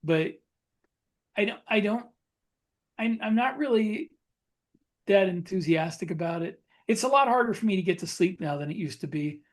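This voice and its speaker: A man's voice: high voice, lively intonation, normal speed, pauses often. A middle-aged American man in casual conversation as a podcast co-host, plain-spoken, often restarting his sentences. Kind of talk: casual chat.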